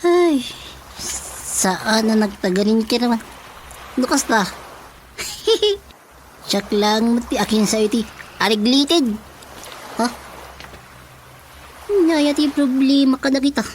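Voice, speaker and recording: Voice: mid-range voice, expressive, slow pace, pauses often, a little hiss or hum. A performer with an Ilocano accent playing an eager, cheeky village watchman in a radio drama comedy, giggly and full of exclamations. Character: eager, cheeky village watchman sidekick, snooping errand-runner.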